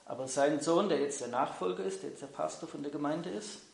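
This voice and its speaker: Slightly high-voiced man in echoey hall. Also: fairly quick, vocal fry. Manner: friendly, hesitant with frequent ums, switching languages. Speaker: church leader interpreting. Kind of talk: church announcement and live interpreting.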